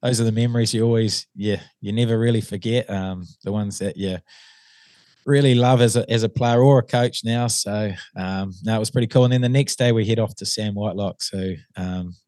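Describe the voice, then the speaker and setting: A New Zealand man with a fairly low, slightly hoarse voice, chatting as a guest. He hesitates a lot, with ums and false starts. The sound is dry and close, with no room around it. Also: fairly even intonation, brisk, darkish tone, no room sound. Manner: hesitant, many ums and restarts. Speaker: assistant rugby coach, guest. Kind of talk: casual interview chat.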